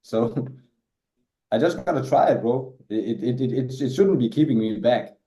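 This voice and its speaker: A man's voice: mid-range voice, lively intonation, unhurried, warm tone, echoey room. A man whose first language is Danish, a guest in a casual interview, talking hesitantly with many repeated words and restarts. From Denmark.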